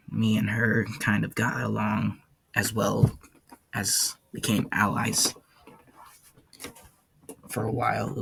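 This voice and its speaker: A man playing a weary, haunted ancient warden in an audio log, speaking in a halting, somber way with a gravelly, husky low voice. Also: fairly bright tone, heavily acted. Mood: somber, reflective. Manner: halting, somber, hesitant delivery. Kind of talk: character audio log, slow monologue.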